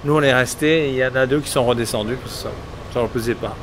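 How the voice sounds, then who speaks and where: A man's voice: medium pitch, quick pace. Middle-aged man chatting over street noise. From England. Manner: relaxed, rambling, many hesitations and restarts. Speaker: musician guest. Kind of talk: casual interview answers. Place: outdoors at a summer concert event. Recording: outdoor street noise behind. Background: traffic and street ambience.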